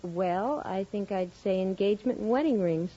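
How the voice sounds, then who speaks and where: A woman's voice: medium pitch, normal speed, mellow. A woman giving short answers as a contestant on a 1950s radio quiz show. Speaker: quiz contestant, dime store clerk. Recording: noisy muffled 1950s radio, studio audience. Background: studio audience.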